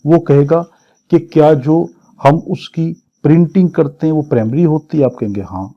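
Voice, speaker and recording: A man's voice: on the high side, normal speed, lo-fi sound. A man with a Pakistani accent lecturing for a law exam, reading clauses and explaining them in a didactic, repetitive, question-and-answer way.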